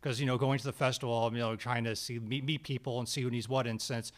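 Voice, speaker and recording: Halting, rambling man in a studio. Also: mid-range voice, fairly even intonation. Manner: halting, rambling, many restarts. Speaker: guest actor and screenwriter. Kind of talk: casual interview talk. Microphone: desk stand mic.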